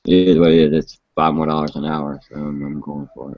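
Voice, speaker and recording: A man's voice: extremely low pitch, level tone, fairly quick, no room sound. A man in his late twenties chatting casually about motorcycles in a rambling, laid-back way with frequent restarts. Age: 20s. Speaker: host. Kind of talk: casual chat. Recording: muffled lo-fi live stream audio.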